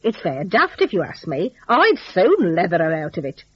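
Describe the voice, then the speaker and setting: A woman's voice: on the low side, huge pitch swings, normal speed, husky, highs cut off, full character voice. A woman voicing a stern, meddling female neighbour in a story, blunt and scolding. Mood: scornful.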